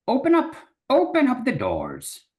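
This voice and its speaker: A man's voice: very high voice, wildly swooping pitch, a little slow, full character voice. An actor in an online dramatic reading playing a brave, boastful little male fox knight who talks in old chivalrous speech. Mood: blustering. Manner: archaic chivalrous speech, blustering. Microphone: video call.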